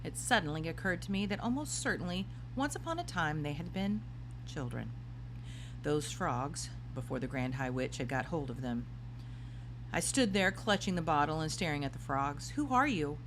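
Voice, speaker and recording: Animated, lower-pitched woman storyteller. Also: normal speed, noisy background. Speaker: storybook reader. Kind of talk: expressive scripted story narration.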